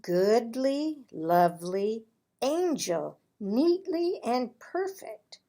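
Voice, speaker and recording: A woman reading a children's picture book aloud as a storyteller, with deliberate, dramatic phrasing. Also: fairly high pitch, big pitch swings, mellow. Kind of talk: expressive children's read-aloud.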